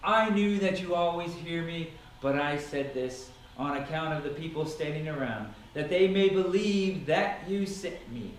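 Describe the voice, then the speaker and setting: A man's voice: a little high, unhurried, hardly any pauses, close to an everyday voice. A man voicing a solemn, commanding holy teacher in a dramatic scripture reading, declamatory and emphatic. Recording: echoey room, distant mic, noisy.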